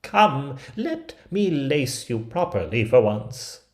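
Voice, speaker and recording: A man voicing a sly, coaxing old peddler woman, really a wicked queen, with drawn-out singsong cries in a storybook reading. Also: mid-range voice, big pitch swings, a little mellow, pitched above the performer's own voice.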